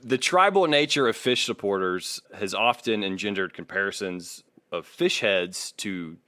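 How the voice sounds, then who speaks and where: A man co-hosting a podcast, talking casually in a measured, hesitant way and sometimes reading aloud. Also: fairly low pitch, sharp tone, no room sound. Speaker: podcast co-host.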